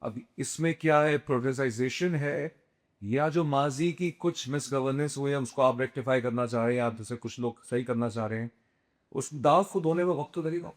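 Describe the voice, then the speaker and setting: A man's voice: medium pitch, normal speed. A man interviewing a politician, questioning him and pushing back on his answers. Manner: challenging, pushes back with questions.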